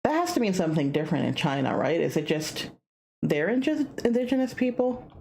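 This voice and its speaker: A woman streamer reading a visual novel aloud, with expressive, joking, self-mocking casual asides. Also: lowish voice, brisk, a little hiss or hum. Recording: music behind the voice. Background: music.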